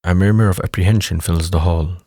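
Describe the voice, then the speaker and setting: A man's voice: low-pitched, fairly even intonation, a little fast, mellow, no echo, studio quality. A man reading scripted narration for an audio drama as its narrator.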